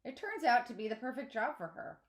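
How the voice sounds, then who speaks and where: A woman teacher reading a children's novel aloud in an expressive storytelling style. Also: a little high, fairly quick.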